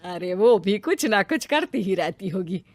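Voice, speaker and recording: A woman's voice: medium pitch, very animated, unhurried, a little mellow, no echo. Doting, hardworking Indian mother-in-law voiced by a woman. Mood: easygoing, reassuring. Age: middle-aged. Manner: motherly, reassuring, uncomplaining. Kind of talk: moral story voice acting.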